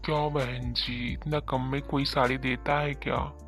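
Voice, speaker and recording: A man playing a gruff, grumbling village sari shopkeeper in an animated story, with a blunt, haggling manner. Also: medium pitch, measured pace, soft background music. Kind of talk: animated moral story acting.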